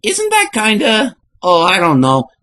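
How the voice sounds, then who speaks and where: A woman's voice: medium pitch, huge pitch swings, unhurried, fairly bright tone. A woman voicing a nervous, wisecracking male plumber hero in a comic book fan dub, hesitant and exclamatory. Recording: music behind the voice.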